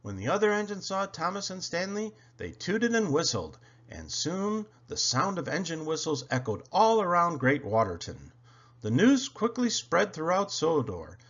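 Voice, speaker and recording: A man reading a children's picture book aloud in an expressive, emphatic storytelling way. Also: on the high side, normal speed. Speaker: storybook reader. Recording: dull, lo-fi home recording.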